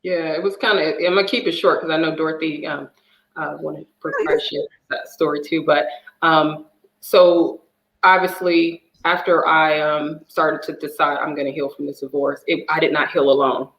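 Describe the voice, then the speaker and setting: A woman's voice: deep voice, steady tone, normal speed, fairly bright tone, roomy sound, low-quality recording. A woman telling her personal story as a divorce coach guest, earnest and emotional. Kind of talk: personal testimony in a talk show.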